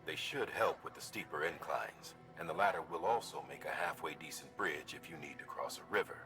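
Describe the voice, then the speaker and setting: Very deep-voiced commander. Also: male voice, fairly quick, bright, forceful tone, recorded from a distance, faint music behind. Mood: instructive. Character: gruff middle-aged male commander briefing a courier. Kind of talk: video game mission briefing.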